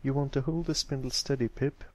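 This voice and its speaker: A man voicing a calm, caring older brother pony who gives patient advice in a storybook reading. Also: medium pitch, brisk, no echo, voice pushed up, lightly acted. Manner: patient, advising.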